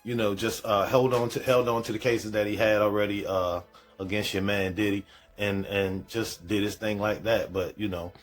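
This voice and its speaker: A man's voice: lowish voice, little pitch movement, moderate pace, faint music behind. A man hosting a reaction show, giving casual, slangy commentary and repeating phrases for emphasis.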